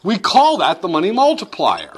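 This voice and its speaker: A man teaching economics in a classroom lecture, his voice sitting high and swinging widely up and down as he talks. He keeps it playful, slipping into quick banker-and-customer skits to make each point. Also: wildly swooping pitch, breathy edge. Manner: playful, acts out banker-customer dialogues with jokes.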